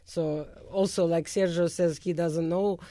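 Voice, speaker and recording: A woman's voice: on the low side, fairly even intonation, unhurried, warm tone, no room sound. A woman from Russia with a Russian accent, a concert pianist answering interview questions in earnest, plainly phrased English that is not her first language. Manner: earnest, plain phrasing with non-native grammar.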